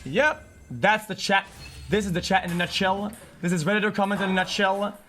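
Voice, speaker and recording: Male streamer, high voice, agitated unscripted rant, repetitive, profane, faint music underneath. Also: brisk, bright, forceful tone. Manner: agitated, repetitive, profane, stumbling over repeated words. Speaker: livestreamer.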